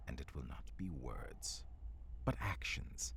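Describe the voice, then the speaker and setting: A man's voice: a bass voice, animated, slow, vocal fry, fairly bright tone, slight background noise, heavily acted. A man playing an ancient, menacing demon and frost giant tyrant in an audio drama, speaking formally and slipping into whispers. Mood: stern, controlled. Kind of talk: dramatic audio roleplay acting.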